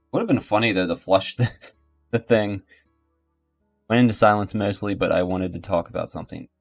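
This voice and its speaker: A man's voice: deep voice, gravelly. A man giving casual let's play commentary and reading game text aloud as he goes. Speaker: gaming YouTuber.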